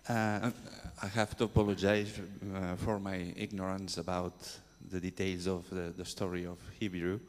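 A man in the audience asking a question, halting and apologetic, with many fillers. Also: low-pitched, flat delivery. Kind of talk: question at a conference. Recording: reverberant hall with faint hum.

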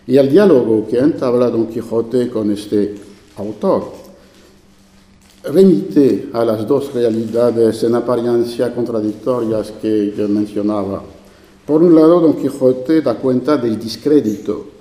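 A man with a French accent, a native French speaker, giving a formal academic conference lecture in a university lecture room, measured with occasional hesitations. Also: mid-range voice, mellow. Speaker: invited speaker. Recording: echoey lecture hall.

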